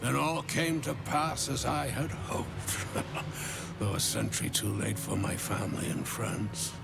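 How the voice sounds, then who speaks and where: An actor playing a weary, dignified damned architect in a fantasy game, solemn and halting, often sinking to a near-whisper. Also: male voice, mid-range voice, expressive, vocal fry, heavily acted. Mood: sad, moved. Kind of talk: dramatic video game acting. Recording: prominent music behind the voice.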